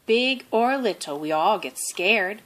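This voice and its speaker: A woman voicing a kindly, patient male owl schoolteacher in a storybook, gentle and instructive. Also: mid-range voice, lively intonation, slow. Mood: gentle, reassuring.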